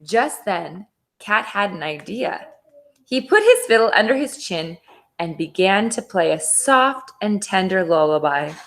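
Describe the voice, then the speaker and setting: Lady teacher doing read aloud for kids, slow and expressive, medium pitch. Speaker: teacher reading aloud to third graders. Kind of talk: expressive children's storybook narration.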